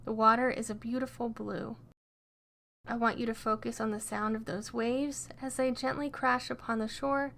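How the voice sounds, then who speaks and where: A woman narrating a scripted guided meditation in a gentle, soothing and encouraging way. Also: on the high side, steady tone, moderate pace, a little hiss or hum.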